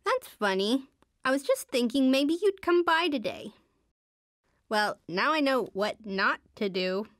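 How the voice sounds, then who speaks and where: Teenage superhero girl, shy, wry, hesitant, high swooping intonation, dry close sound, video game lines. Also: animated, measured pace, no echo. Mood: upbeat, wry.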